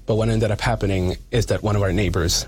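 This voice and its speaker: A man's voice: lowish voice, steady tone, fast talker, darkish tone, slight background noise. A man speaking as a community activist in an interview, earnest and emphatic, repeating phrases for weight.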